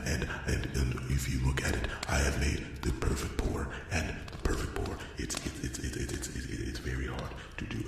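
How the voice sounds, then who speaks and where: A man playing an awkward, lonely male dwarf blacksmith in a fantasy ASMR roleplay, rambling earnestly with a halting stammer in a very deep, gravelly voice. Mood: flustered, earnest. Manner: stammering, hesitant, repetitive, earnest. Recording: very echoey, loud noise, distant mic.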